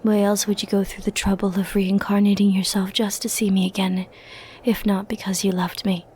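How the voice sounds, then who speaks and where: A woman playing a powerful, flirty and possessive sorceress in an ASMR roleplay, speaking softly and intimately with theatrical, teasing, condescending turns. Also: mid-range voice, little pitch movement, normal speed, a little hiss or hum, natural voice. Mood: tender, intense. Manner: soft, intimate, teasing, theatrical delivery with breathy murmured asides.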